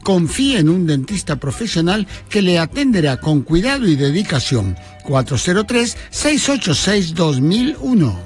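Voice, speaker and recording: A man hosting a language community radio show, reading ads and introducing a guest in a friendly, halting way with many fillers. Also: high voice, big pitch swings, faint music behind. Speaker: radio host. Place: studio.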